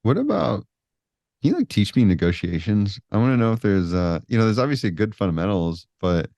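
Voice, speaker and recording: A man hosting a podcast interview, relaxed and joking in a casual way. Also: on the low side, a little fast, creaky, no echo. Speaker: podcast host.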